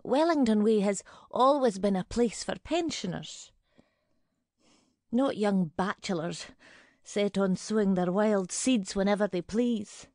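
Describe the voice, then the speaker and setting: A woman's voice: mid-range voice, a little slow, darkish tone, no room sound, studio quality, lightly acted. An actress playing a nosy old pensioner woman in an audio drama monologue, prim, indignant and grumbling. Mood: prim indignation. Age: older.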